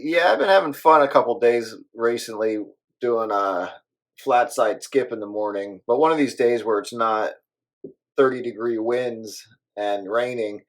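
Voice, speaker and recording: A man's voice: medium pitch, a little slow. A man chatting casually as a hobby radio operator, rambling along in an easygoing way.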